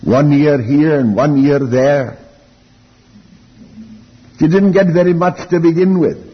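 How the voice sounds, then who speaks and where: Elderly preacher, muffled in a reverberant hall. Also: male voice, a little high, slightly rough. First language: Welsh. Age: older, elderly. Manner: deliberate, reflective, with rhetorical questions and repeated phrases. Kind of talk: Bible teaching sermon.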